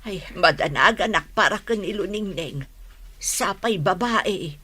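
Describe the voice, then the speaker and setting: A woman's voice: medium pitch, animated, measured pace, bright, forceful tone, breathy edge, heavily acted. A woman playing a concerned, protective middle-aged village relative in a radio drama, insistent and fretting.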